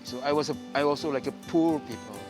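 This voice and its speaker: An Indonesian man in his forties speaks halting English, restarting his sentences often, his voice sitting a bit higher than most men's. Soft music plays clearly behind him in a TV studio. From Indonesia, Papua. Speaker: guest choreographer. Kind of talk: casual interview chat. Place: indoor TV studio set.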